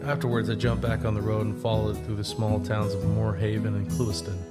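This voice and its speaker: Audio drama lead: actor as easygoing young male narrator, slightly low voice, conversational first-person delivery, loud guitar bed. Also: flat delivery, fairly quick, natural voice. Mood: easygoing, reflective. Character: laid-back young man narrating his long solo walk. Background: guitar music.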